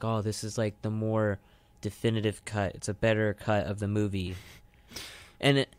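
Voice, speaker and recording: A young American man chats casually as a radio co-host. His voice sits on the low side and he rambles, leaning on "like" as he goes. The sound is dry, with little room to it. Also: no echo. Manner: rambling, heavy use of "like". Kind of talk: casual chat. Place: radio studio.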